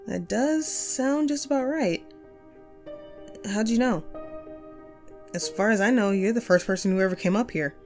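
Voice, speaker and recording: A woman's voice: a little high, normal speed, long pauses, a little mellow, faint music behind, lightly acted. A woman playing a chatty, upbeat ghost of a radio host and ghost hunter in an audio roleplay, playful and musing in manner. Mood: wistful, chatty. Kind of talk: scripted one-sided audio roleplay, conversational.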